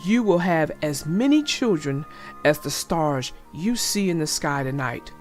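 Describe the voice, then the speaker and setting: Commanding storybook deity, played by a female narrator; grave, unhurried, faint music behind. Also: low voice, animated, voice pushed down. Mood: solemn promise. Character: God, solemn commanding male deity of a Bible storybook.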